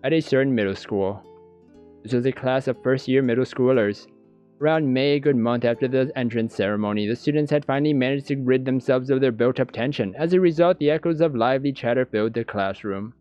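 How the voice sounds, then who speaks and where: A man's voice: mid-range voice, brisk, darkish tone. A man reading visual novel narration aloud, as in a let's-play. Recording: dull lo-fi sound, music behind.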